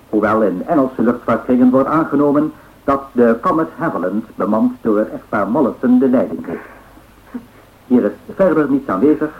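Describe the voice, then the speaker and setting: A man's voice: mid-range voice, lightly acted. An actor playing a formal male news announcer of the 1930s reading a scripted radio bulletin. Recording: tinny, phone-like old radio broadcast.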